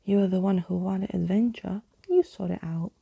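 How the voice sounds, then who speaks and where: A woman plays a scornful, sulky storybook girl. Her lines come out soft and drawling, with a crackly, dark edge. She is huffy and sarcastic. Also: mid-range voice, normal speed, gravelly, slightly rough, no room sound, lo-fi sound.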